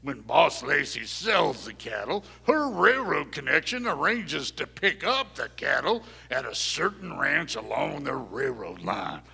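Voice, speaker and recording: An actor playing a chatty, bragging outlaw cowboy in a live Western radio play, with a folksy drawl. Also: male voice, mid-range voice, very animated, unhurried, vocal fry, few pauses, bright, forceful tone, slightly rough, exaggerated voice. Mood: boastful, confiding. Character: chatty, boastful male cowboy outlaw gang member. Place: performing arts theater stage. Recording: very reverberant hall, stage performance.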